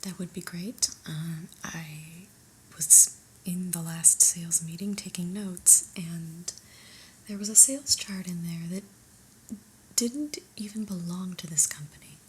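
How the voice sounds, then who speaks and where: A woman whispering an ASMR roleplay as an unimpressed new office boss, deliberate, mildly stern and deadpan, in a breathy voice. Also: on the low side, a little hiss or hum.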